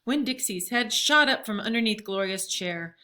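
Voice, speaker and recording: A woman reading a storybook aloud as a narrator, in an expressive read-aloud style. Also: medium pitch, normal speed.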